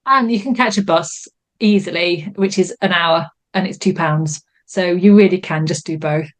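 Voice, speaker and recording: A woman's voice: on the low side, moderate pace. A woman talks casually as a guest English teacher. She is enthusiastic and chatty, repeating phrases and leaning on fillers as she goes. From Yorkshire, England. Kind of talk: casual conversation.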